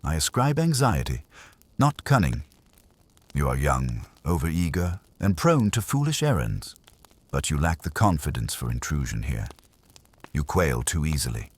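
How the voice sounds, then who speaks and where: A man voicing an imperious, calm detective genius in an audiobook, pompous, formal and husky. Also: extremely low pitch, normal speed, full character voice. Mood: coolly appraising.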